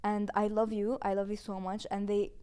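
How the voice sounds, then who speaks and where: A woman in her twenties, a podcast host, talking casually and warmly about finishing a season, with frequent "like"s. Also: mid-range voice, flat delivery, moderate pace, smooth. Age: 20s. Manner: casual, chatty with many "like"s and laughs. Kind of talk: heartfelt season-finale reflection.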